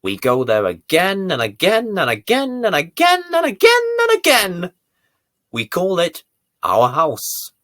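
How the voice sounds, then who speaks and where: A man reading a bedtime story to young children as its presenter, with deliberate, theatrical emphasis. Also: high-pitched, huge pitch swings, no room sound, clean studio sound. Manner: deliberate, theatrical emphasis with dramatic swells and hushes.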